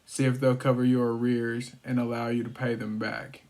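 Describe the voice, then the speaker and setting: Even-toned man making casual sales calls. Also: mid-range voice, normal speed. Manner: informal, explanatory. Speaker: real estate wholesaler making cold calls.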